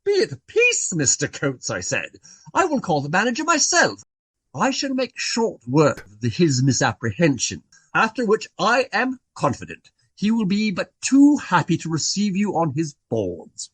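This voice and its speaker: An actor playing a portly, garrulous, self-important Regency gentleman who tells his story in long-winded, genteel phrases for a radio play. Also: high-pitched, wildly swooping pitch, measured pace, husky, dry recording, heavily acted. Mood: animated storytelling.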